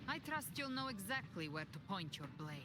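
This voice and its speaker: A woman playing a brooding, defiant monster-hunting avenger in a video game, speaking in a deliberate, grave and cryptic way. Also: medium pitch, very animated, natural voice. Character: brooding, defiant female avenger who hunts dark monsters. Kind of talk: dramatic scripted game dialogue. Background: prominent background music.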